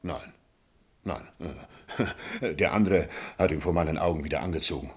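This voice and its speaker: An actor playing a simple, boastful, good-natured peasant in a comic radio play, telling his tale in a halting, exclaiming, rambling way. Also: rumbling low, husky. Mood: excited, boastful. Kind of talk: German radio play acting of classic comedy.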